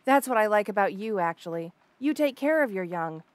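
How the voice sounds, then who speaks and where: A woman's voice: mid-range voice, lively intonation, brisk, no echo, natural voice. A woman voicing an obsessive, lovestruck rattlesnake lamia in an intimate audio roleplay, gushing and hesitant with coy stammers. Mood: chatty, admiring. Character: obsessive lovestruck female rattlesnake lamia, shy yet unsettling.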